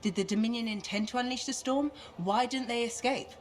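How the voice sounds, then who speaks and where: A woman's voice: on the high side, fairly quick, lightly acted. An actress playing a curious, determined female mission specialist in a video game, with a wry, questioning manner. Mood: intent, questioning. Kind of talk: video game cutscene acting.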